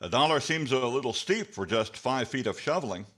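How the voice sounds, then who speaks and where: A man's voice: medium pitch, lively intonation, brisk, fairly bright tone. An older man playing an affable announcer and straight man in a 1940s radio comedy. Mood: matter-of-fact.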